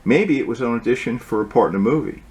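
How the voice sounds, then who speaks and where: A man's voice: medium pitch, expressive, quick pace, darkish tone. Man reading a storybook aloud. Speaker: author reading own book aloud. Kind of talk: storybook read-aloud with asides.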